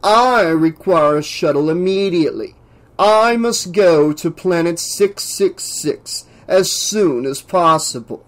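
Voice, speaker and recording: A man voicing a pompous, demanding male scientist obsessed with his own genius, in a drawn-out, grandiose delivery for an audiobook story. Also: on the high side. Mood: imperious.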